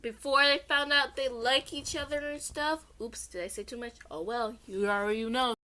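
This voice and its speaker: A young woman reads a story aloud in a bright, fairly high voice. She is casual and chatty, drifting between narration and rambling, self-deprecating asides. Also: fairly even intonation, normal speed. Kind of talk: casual chatty read-along of own story.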